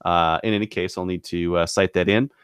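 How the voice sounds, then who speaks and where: A man co-hosting a podcast, chatting casually and pausing often mid-thought. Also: deep voice, steady tone, fairly bright tone, clean tone, no echo, clean studio sound. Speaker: podcast co-host. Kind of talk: casual hobby podcast chat.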